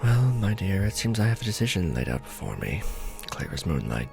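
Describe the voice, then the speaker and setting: Man voicing formal vampire lord, conflicted romantic, deep creaky tone, expressive intonation, ASMR fantasy roleplay. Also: music in the background, exaggerated voice. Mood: torn, grave. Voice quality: low, creaky. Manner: formal, measured, hesitant when tender. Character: courtly, conflicted vampire lord, tender toward his beloved.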